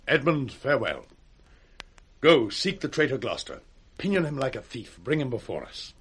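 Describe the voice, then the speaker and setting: Casting: cruel commanding British duke, rasping and forceful, clipped orders, highly animated Shakespearean radio villain. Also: male voice, normal speed. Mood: cold, vengeful. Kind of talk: 1960s Shakespearean radio acting.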